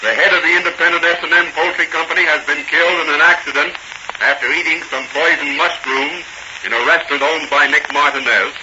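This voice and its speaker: A man's voice: on the high side, fairly even intonation, fast talker, background noise. A man narrating a radio serial as its announcer in a formal, dramatic 1940s style. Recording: muffled vintage 1940 radio recording. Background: music.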